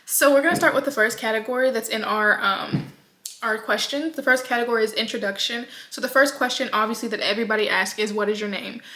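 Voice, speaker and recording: A woman's voice: fairly high pitch, fast talker, sharp tone. A woman of twenty, chatting casually to her viewers in a get-to-know-me vlog, rambling with plenty of ums and likes. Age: 20s. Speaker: vlogger. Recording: echoey room sound.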